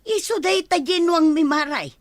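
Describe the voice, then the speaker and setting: A woman's voice: very high-pitched, normal speed, dry recording, studio quality. A woman with an Ilocano accent playing a bossy farmer's wife who keeps watch on her husband in an audio drama. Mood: puzzled.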